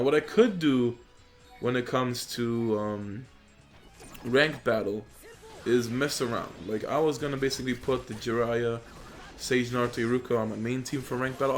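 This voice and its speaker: Young male gamer, Polish native, mid-pitched, relaxed and filler-heavy commentary, desk mic, faint music bed. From Poland. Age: young adult. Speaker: gaming YouTuber. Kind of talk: casual gameplay commentary. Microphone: USB desk microphone.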